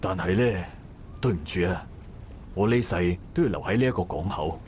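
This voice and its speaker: An actor playing a calm, steady male dockworker who gently reassures a friend in a visual novel. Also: low-pitched, moderate pace, slight background noise. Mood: gentle reassuring. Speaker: game character. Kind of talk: voiced visual novel dialogue.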